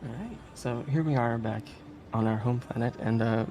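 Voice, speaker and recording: A speedrunner talks through a game live in a casual, chatty way. The delivery is hesitant and prone to giggling. The voice sits at a middling, androgynous pitch, with game music clearly playing behind it. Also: moderate pace. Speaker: speedrunner presenting a game run. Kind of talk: casual live gameplay commentary.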